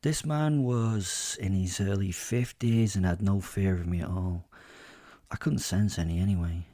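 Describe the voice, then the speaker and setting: A man narrating a first-person dramatic story as a wry, boastful werewolf, in a measured way with dramatic pauses. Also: low voice, warm tone, dry recording, clean studio sound. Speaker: narrator and host of a fiction podcast.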